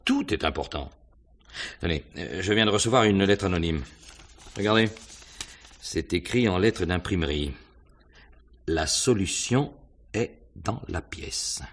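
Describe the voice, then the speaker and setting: A police inspector from an old-fashioned radio mystery. His voice is very low and rough-edged. He questions courteously and deliberately, musing aloud with small hesitations as he probes. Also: expressive, heavily acted. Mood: probing, thoughtful. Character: courteous, probing male police inspector on a murder case. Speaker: radio drama actor. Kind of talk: French mystery radio drama acting, 1950s manner.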